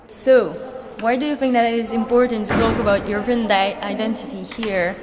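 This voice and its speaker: A woman's voice: on the high side, fairly even intonation, fairly quick. A woman interviewing a workshop participant, asking short questions. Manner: brief questioning. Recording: hall reverb with crowd noise.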